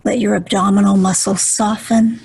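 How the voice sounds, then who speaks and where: A woman leading a guided meditation in a soft, breathy voice, gentle and soothing, with deliberate pauses. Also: medium pitch, level tone.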